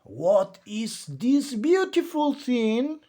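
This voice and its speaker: A man's voice: very high voice, big pitch swings, extremely slow, warm tone, pitched above the performer's own voice, heavily acted. A man voicing a curious, puzzled sea creature from a children's storybook, in a wondering, questioning way.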